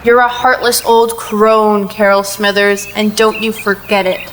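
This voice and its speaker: A woman playing a sharp-tongued old widow in an audio play, dry, blunt and sarcastic. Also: mid-range voice, little pitch movement, unhurried, slight background noise, lightly acted.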